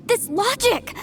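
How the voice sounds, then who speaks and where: A woman's voice: very high voice, exaggerated voice. A woman voicing an earnest, stubborn young female believer in an anime-style video game, impassioned and dramatic in her delivery. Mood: flustered, defiant. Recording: game music behind.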